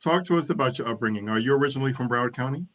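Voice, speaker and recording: A man hosting a conversational political interview in a courteous, deliberate way. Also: medium pitch, a bit bright, lo-fi sound. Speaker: interviewer, moderator.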